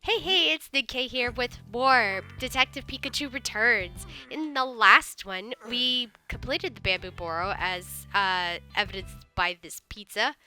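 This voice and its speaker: Bright chatty let's play host. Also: female voice, a little high, a little slow, hardly any pauses, soft background music. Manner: rambling, chatty, frequent fillers and restarts. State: recovering from a cold. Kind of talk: casual gameplay commentary and reading aloud.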